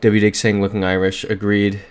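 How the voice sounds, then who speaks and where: A young man hosts a casual livestream from his room, upbeat as he thinks aloud and reads the chat. His voice sits on the low side, captured on a desk mic. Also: completely flat delivery, moderate pace, clear, resonant tone. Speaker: streamer.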